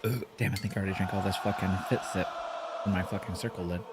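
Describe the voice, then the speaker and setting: A man's voice: deep voice, fairly even intonation, fast talker, very noisy. A man with a nasal voice hosting a live reaction stream, tossing off loose, joking, profane asides and greeting viewers. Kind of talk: casual live reaction chat.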